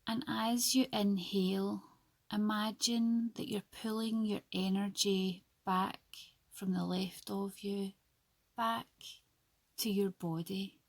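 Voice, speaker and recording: A woman guiding a sleep meditation in a soft, breathy, soothing and hushed voice, leaving long pauses between short phrases. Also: medium pitch, little pitch movement, no room sound. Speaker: yoga nidra guide. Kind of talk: slow guided sleep meditation.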